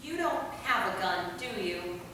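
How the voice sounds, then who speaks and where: An actress playing an earnest, skeptical young heroine in a staged play reading. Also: female voice, medium pitch, expressive, a little slow, sharp tone. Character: Maggie, earnest, skeptical woman questioning her friend and captive. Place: theater hall, mic at a distance. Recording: echoey hall from far off, noisy.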